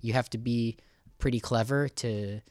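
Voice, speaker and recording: Halting man hosting a casual interview. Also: mid-range voice, fairly even intonation, darkish tone, dry recording, clean studio sound. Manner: halting, thinking aloud. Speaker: podcast host.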